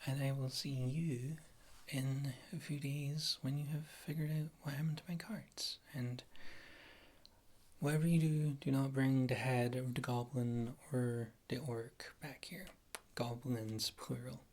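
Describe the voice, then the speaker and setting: A woman playing a wry witch healer and former adventurer in a fantasy ASMR roleplay, speaking in a soft, breathy whisper close to the microphone. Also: very deep voice, steady tone. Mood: confiding, businesslike. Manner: rambling, wry, gentle, unhurried.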